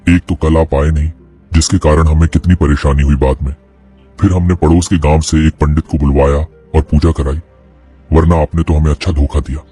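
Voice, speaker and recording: A man's voice: very deep voice, little pitch movement, moderate pace, warm tone, breathy edge, voice pushed down. A man voicing an indignant village householder in a cartoon who accuses a priest. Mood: reproachful. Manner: reproachful, accusing. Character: indignant middle-aged male householder. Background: faint music.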